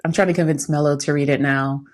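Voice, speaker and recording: A woman with a slightly low-pitched voice talks as a podcast co-host. Her delivery is casual and conversational. She comes across as candid and reflective. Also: fairly even intonation, quick pace.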